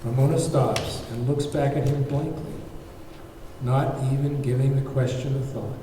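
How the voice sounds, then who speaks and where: A man's voice: mid-range voice, fairly even intonation, measured pace, dark tone, slight background noise. A man reading screenplay stage directions as scripted narration, recorded from a distance in an echoey room. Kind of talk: scripted narration at a table read.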